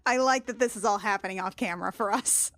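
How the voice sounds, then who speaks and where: A woman with a mid-pitched voice chats through her turn in a tabletop roleplaying game. She is informal and playful as she describes her character's moves. The sound is close and dry, with no room echo. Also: quick pace, fairly bright tone. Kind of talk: casual in-game chat, describing character's actions.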